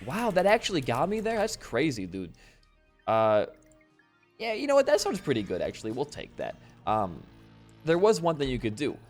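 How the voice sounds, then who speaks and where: A laid-back young man from Wisconsin, a bit hoarse after losing his voice, rambling casually while commenting on his gameplay. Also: a little high, wildly swooping pitch, normal speed. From Wisconsin, USA. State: slightly hoarse from voice loss. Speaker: gaming channel host. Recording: faint game music behind.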